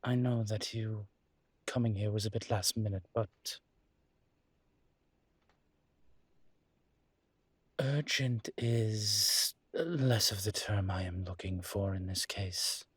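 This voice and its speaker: Man acting as a flirtatious demon professor, low husky voice, courtly teasing manner, hesitant pauses, intimate audio roleplay. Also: no room sound. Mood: gracious, welcoming.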